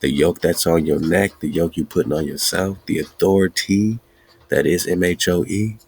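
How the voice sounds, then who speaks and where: Husky, low-pitched male host, slow and emphatic, preaching-style delivery. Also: steady tone, darkish tone. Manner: chanting, emphatic, laughs often. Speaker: podcast host. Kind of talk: preaching-style teaching monologue.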